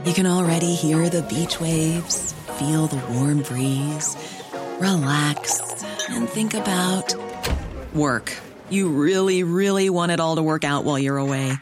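A woman reading a scripted ad slowly and softly. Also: sits low, music in the background. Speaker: ad reader. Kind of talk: scripted ad read.

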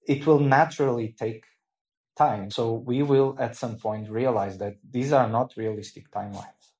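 A man giving measured expert answers in a panel discussion, with careful pauses. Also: medium pitch, steady tone, a little mellow. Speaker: expert guest.

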